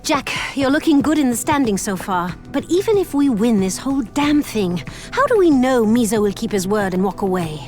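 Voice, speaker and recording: Earnest, high-voiced young heroine. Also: female voice, big pitch swings, moderate pace, hardly any pauses, darkish tone, faint music behind. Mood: worried. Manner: earnest, serious. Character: earnest, anxious daughter of a crime lord. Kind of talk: video game cutscene acting.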